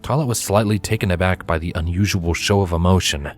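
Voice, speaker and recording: A man's voice: low-pitched, fast, soft background music. A man reading a scripted story aloud as its narrator. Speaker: narrator, fan fiction reader.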